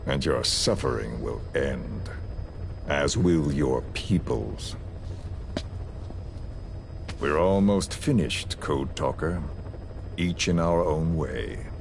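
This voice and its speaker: A cold, menacing game villain. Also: male voice, a bass voice, expressive, gravelly, heavily acted. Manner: slow, measured, quietly threatening. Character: cold, menacing male villain, calm and scheming. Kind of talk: game cutscene dialogue. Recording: noisy game audio recording.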